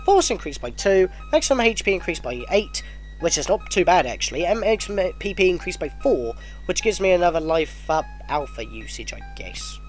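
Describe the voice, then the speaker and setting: This is a man hosting his own gameplay video while stuffed up with a cold, his nose blocked as he talks. His voice sits high and leaps around wildly in pitch as he rambles and corrects himself. Faint game music plays underneath. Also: fairly quick. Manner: chatty, self-correcting, excitable at battles. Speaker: let's play host. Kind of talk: casual gameplay commentary.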